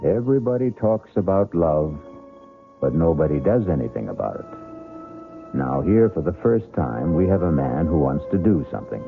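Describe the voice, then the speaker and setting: Male mystery host, extremely low gravelly voice, droll and theatrical, vintage radio sound. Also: sing-song. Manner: droll, theatrical, measured irony. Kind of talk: wry scripted radio narration. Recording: tinny, narrow-band vintage radio broadcast. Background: music.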